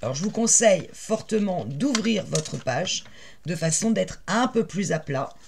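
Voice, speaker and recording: Raspy woman with a cold, casual tutorial host talking through her crafting as she works. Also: on the low side, lively intonation, a little slow, background noise. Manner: chatty, many "euh" fillers, explains while working. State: has a head cold.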